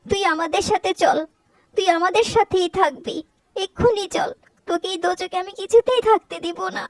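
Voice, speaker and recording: A woman's voice: very high voice, moderate pace, no room sound, low-quality recording. A woman voicing an anxious, emotional middle-aged mother in a cartoon, with a fretful, emphatic delivery. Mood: distressed, remorseful. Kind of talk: Bengali cartoon voice acting.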